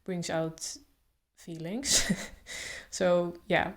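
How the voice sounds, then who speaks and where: Woman who stutters, flat even voice. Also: fairly low pitch, extremely slow. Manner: stutters openly with blocks and repeated sounds; calm, reflective, thinks aloud with fillers. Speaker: vlogger. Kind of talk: casual talk to camera.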